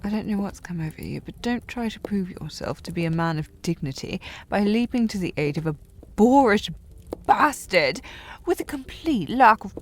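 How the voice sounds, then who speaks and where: A woman's voice: medium pitch, animated, normal speed, slight background noise, lightly acted. A woman playing a tipsy, haughty, teasing young noblewoman in an intimate period audio drama, with a slightly slurred delivery and an affected, sliding accent. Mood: indignant. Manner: slightly slurred drunk delivery, playfully imperious, formal wording.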